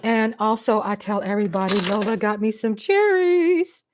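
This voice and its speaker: A woman's voice: medium pitch, normal speed, noisy background. A woman from the American South with a Texas accent, hosting a casual live chat stream from home in a playful, teasing way.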